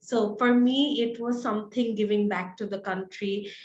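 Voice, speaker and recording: Female interview guest, Bangladeshi accent, mid-pitched conversational delivery, very roomy sound. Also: fairly even intonation, normal speed, darkish tone, muffled recording. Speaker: interview guest, advertising creative. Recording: echoey room.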